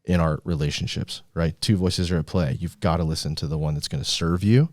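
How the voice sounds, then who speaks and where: Very deep-voiced man coaching on a podcast. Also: a little fast, dry recording, studio quality. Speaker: podcast host and business coach. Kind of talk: casual motivational podcast conversation.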